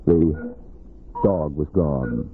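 A man narrating a 1950s radio drama in a solemn, theatrical style with deliberate phrasing. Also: extremely low pitch, creaky, phone-quality sound, crowd noise. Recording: band-limited 1950 radio, loud music. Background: loud dramatic music.

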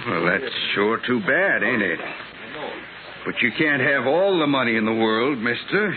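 Man playing a slow-talking, sly western townsman. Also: medium pitch, lively intonation, lightly acted. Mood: sly, probing. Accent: American. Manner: slow, needling. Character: sly, prying male Old West townsman. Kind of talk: 1950s radio western acting. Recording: muffled old radio, loud crowd.

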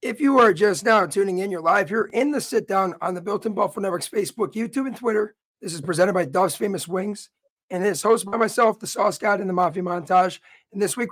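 A man with a raspy voice hosting a live sports show, talking upbeat and straight to his viewers. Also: sits high. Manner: upbeat and promotional, addressing viewers directly. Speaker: host.

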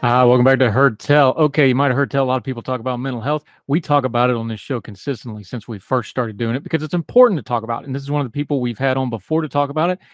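A man's voice: medium pitch, racing, few pauses, no echo, clean studio sound. A man with an Appalachian accent hosting a talk radio show, folksy and chatty, interviewing a guest. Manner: folksy, talkative, self-deprecating.

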